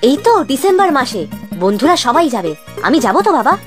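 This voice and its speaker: A whiny young cartoon boy, very high and swooping in pitch. He wheedles and sulks like a picky child, over background music. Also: big pitch swings, quick pace. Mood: pleading. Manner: wheedling, sulky. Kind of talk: Bengali children's cartoon acting.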